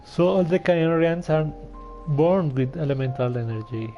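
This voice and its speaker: A man giving casual, halting playthrough commentary as he reacts to a game. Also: on the high side, steady tone, warm tone. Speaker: game streamer. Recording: dull mic, faint game music behind. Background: faint game music.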